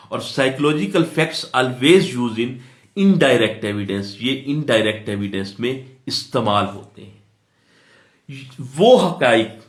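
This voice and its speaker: A man with a Pakistani accent giving an explanatory law lecture in a didactic way, repeating phrases and checking that listeners follow. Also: mid-range voice. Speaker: law teacher. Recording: roomy, echoing sound.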